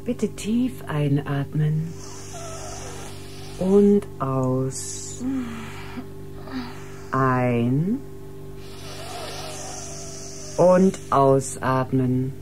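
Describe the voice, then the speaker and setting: An actress playing a professional, slightly condescending ship doctor, clinical and instructive in manner. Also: female voice, a bass voice, full character voice. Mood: calm, instructing. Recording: music playing behind the voice.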